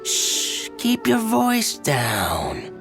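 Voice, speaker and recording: An actor playing a shifty merchant in a video game, talking in a sly, conspiratorial manner. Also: male voice, high-pitched, huge pitch swings, extremely slow, creaky, heavily acted. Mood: sly, guarded. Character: shifty male merchant with shady dealings. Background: background music.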